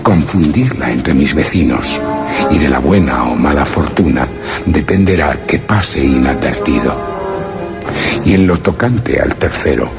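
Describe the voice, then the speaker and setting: Very deep-voiced, grave explorer. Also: male voice, expressive, measured pace, gravelly, loud music behind the voice, full character voice. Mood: resolute, guarded. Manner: grave, formal, literary delivery. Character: anguished male explorer hiding among a foreign people, solemn and secretive. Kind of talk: radio drama first-person narration of suspense and horror.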